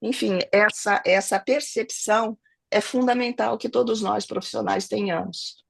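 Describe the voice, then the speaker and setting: A middle-aged woman with a Brazilian Portuguese accent, hoarse from a cold, speaking earnestly as a family lawyer and mediator on an online webinar, often restarting phrases and adding tag questions. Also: mid-range voice, fairly even intonation, normal speed, no echo. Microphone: video call.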